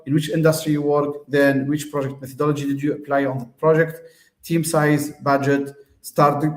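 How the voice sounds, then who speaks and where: A man's voice: mid-range voice, echoey room. A man from Tunisia, host of the show, giving a casual, hesitant explanation full of fillers and restarts.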